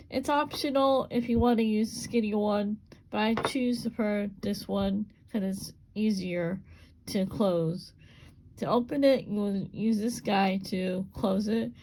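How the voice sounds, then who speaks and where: A woman with a gravelly, hoarse voice demonstrates a small craft step by step. Her talk is relaxed and unscripted, with plenty of repeats as she works. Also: mid-range voice, poor audio. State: voice going hoarse. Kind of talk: casual hands-on craft demonstration.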